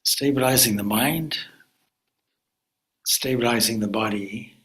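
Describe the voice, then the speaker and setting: A man leading a guided meditation as a spiritual teacher, speaking calmly and deliberately in short phrases with pauses. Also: mid-range voice, low-quality recording.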